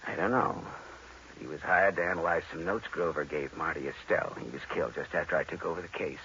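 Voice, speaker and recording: An actor playing a deadpan, wisecracking private detective who narrates his case in old-time radio noir style. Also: rumbling low, fast, a little hiss or hum, heavily acted. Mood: businesslike. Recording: dull vintage radio recording with music.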